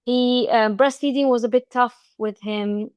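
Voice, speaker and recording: A woman's voice: a little high, steady tone, measured pace, darkish tone, clear, resonant tone, dry recording, lo-fi sound. A woman from Egypt with an Egyptian accent, a podcast guest speaking in casual interview chat with frequent restarts and fillers.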